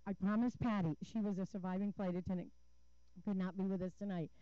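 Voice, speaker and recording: An older woman speaks at a podium microphone in a council chamber, telling her story earnestly and vividly. Her voice is mellow and dry, with slightly dull, lo-fi sound. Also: mid-range voice, fairly even intonation, fast, clear, resonant tone, no echo. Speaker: public commenter at a council meeting. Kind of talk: prepared public comment with personal storytelling.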